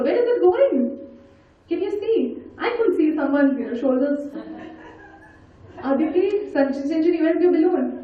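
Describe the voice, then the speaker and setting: A woman giving an interactive storytelling talk, animated and coaxing as she puts questions and instructions to her listeners. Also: high voice. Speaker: storyteller and speaker leading an audience activity. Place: large indoor event hall. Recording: very echoey hall, distant mic, dull sound.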